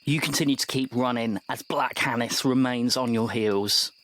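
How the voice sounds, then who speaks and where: A man acting as game master, narrating a tabletop roleplaying story in a measured, descriptive way. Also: medium pitch, a bit bright.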